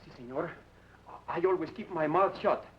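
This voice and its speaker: A nervous Mexican henchman acted by a man in an old radio drama. He speaks halting, accented English in a slightly high, deferential whine. The sound is dull with faint hiss. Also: fairly bright tone, natural voice. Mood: nervous, pleading. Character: cowed, excuse-making Mexican henchman, a man. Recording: muffled, noisy old radio recording.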